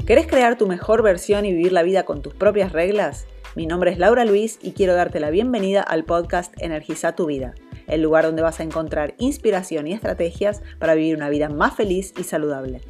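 A woman with a slightly low voice and a Rioplatense accent delivers a scripted podcast intro. Music plays behind her as she welcomes listeners as the host. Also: brisk, few pauses, a little mellow. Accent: Rioplatense Spanish. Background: music bed.

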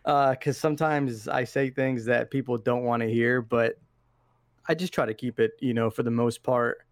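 A man's voice: medium pitch, steady tone, moderate pace, no echo, clean studio sound. A young man from Iraq, a podcast guest, talking video games in a laid-back, slangy way, often saying "man" and "dude". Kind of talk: casual interview chat.

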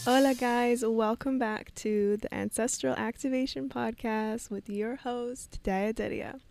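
A woman's voice: a little high, steady tone, measured pace, gravelly, darkish tone, soft background music. A young woman hosting a solo podcast, telling a personal story in an emotional, meandering way and laughing often. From Canada. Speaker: podcast host.

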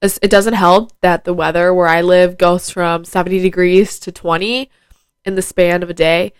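A woman's voice: lowish voice, flat delivery, moderate pace, clean tone, no room sound. A fifteen-year-old girl hosting her own podcast, chatting casually with lots of fillers, her voice nasal and stuffed up from a head cold. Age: teens. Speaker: podcast host. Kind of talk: casual solo podcast chat. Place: at home. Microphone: plugged into phone.

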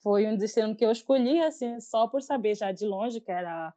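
A woman's voice: fairly high pitch, normal speed, mellow. A woman of twenty-six from Mozambique with a Mozambican accent, telling personal stories in a casual, chatty way as a podcast guest. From Mozambique, raised in Maputo. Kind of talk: casual personal storytelling in an interview. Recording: slightly dull lo-fi audio.

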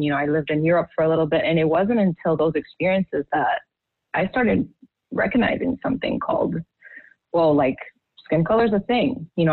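A young woman from Haiti talks candidly as a podcast guest. Her voice sits low, and she speaks haltingly, with many restarts. The sound is tinny and phone-like, with no room echo. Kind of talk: candid personal testimony.

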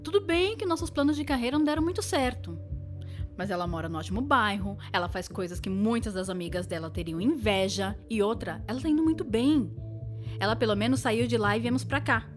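Blunt, opinionated Brazilian woman played by an actress, fast and fairly high, slangy audio drama delivery over music. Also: lively intonation, lightly acted. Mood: defensive. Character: blunt, loyal, no-nonsense inner voice of a woman. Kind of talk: conversational audio drama acting.

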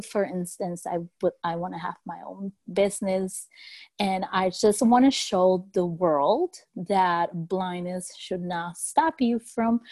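Slow-spoken woman from Peru. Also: a little high, darkish tone, no echo. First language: Spanish. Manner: slow, thoughtful, with hesitations and restarts. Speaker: podcast guest. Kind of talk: interview answers.

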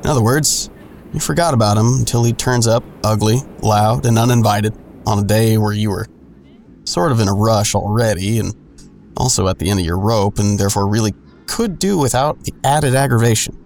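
A man's voice: fairly low pitch, brisk, creaky, a little mellow, a little hiss or hum. An actor playing a wry, world-weary narrator in an audio drama, telling his story in dry, sardonic asides. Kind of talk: first-person noir-like audio drama narration.

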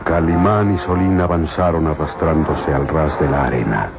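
A man's voice: very deep voice, steady tone, normal speed. A man narrating a radio adventure serial in a solemn, theatrical scripted style. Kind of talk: dramatic scripted narration in Spanish. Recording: muffled old radio drama, loud music.

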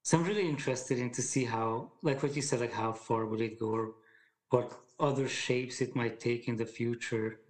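Male guest, 40s; thoughtful, halting delivery with frequent fillers. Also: mid-range voice, steady tone. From Hungary. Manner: thoughtful, hesitant, many fillers and restarts. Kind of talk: casual conversation.

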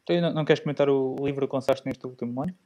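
Fast-talking Portuguese host, dark tone. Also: male voice, mid-range voice, fairly even intonation. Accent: European Portuguese. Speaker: podcast host. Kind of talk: casual conversation.

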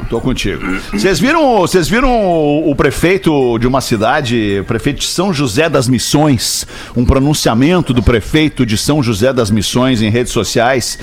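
A man hosting a talk show, reading news items and chatting in a lively, joking way. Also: mid-range voice, normal speed. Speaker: host. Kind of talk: casual radio chat and news reading.

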